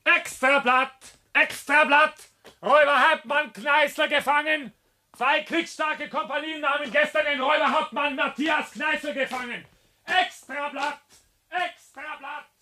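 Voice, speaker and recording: Piercing high newsboy yelling extra editions, radio drama. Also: male voice, steady tone, measured pace, exaggerated voice. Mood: excited. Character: shrill street newspaper crier shouting headlines. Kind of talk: radio play street cry.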